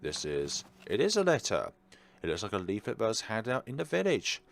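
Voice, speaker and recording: Guy doing a visual novel lets play, gravelly voice that swings up and down a lot, dramatic reading. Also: lowish voice, huge pitch swings, fairly quick. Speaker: let's player reading a visual novel aloud. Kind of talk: game playthrough narration with asides.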